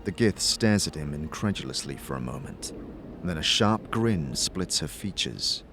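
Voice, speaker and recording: Lively, theatrical male fantasy narrator over music. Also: a bass voice. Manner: measured, descriptive, theatrical. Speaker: narrator and game master. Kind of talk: dramatic scripted fantasy narration.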